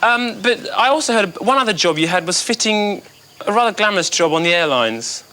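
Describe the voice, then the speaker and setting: A man's voice: high voice, lively intonation, a little fast, sharp tone, a little hiss or hum. A man hosting a late-night TV chat show, teasing his guest playfully. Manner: playful, teasing, laughs often. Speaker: TV host. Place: TV studio.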